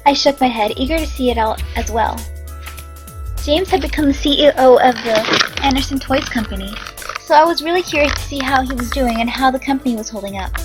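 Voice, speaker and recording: Hoarse young woman reading a game aloud. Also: high-pitched. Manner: chatty, excitable asides. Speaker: game reader and narrator. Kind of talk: reading a visual novel aloud with comments.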